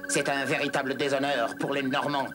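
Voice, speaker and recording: Male actor Norman villain, medium pitch, haughty, scornful, theatrical, French cartoon dub. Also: level tone, brisk, noisy background, lightly acted. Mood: outraged.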